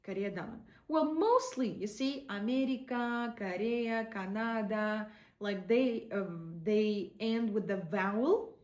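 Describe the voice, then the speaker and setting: A woman with a Kazakh accent gives an online language lesson at a slow, unhurried pace and repeats each word for practice. Her voice sits a little high. The room adds a noticeable echo. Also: darkish tone. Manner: explains slowly, repeats words for practice. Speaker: language teacher.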